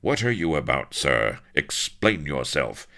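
A haughty upper-class English hero, acted by a male narrator, speaks crisply and commandingly in a storybook adventure. The delivery is bright, forceful and coolly pompous. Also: sits low, big pitch swings, a little slow, pitched above the performer's own voice, full character voice. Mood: stern. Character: cold, pompous, well-bred English gentleman hero.

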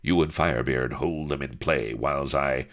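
A man's voice: rumbling low, moderate pace, fairly bright tone. A man voicing a cool, academic ship's captain who speaks in a dry, clipped way. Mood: brisk.

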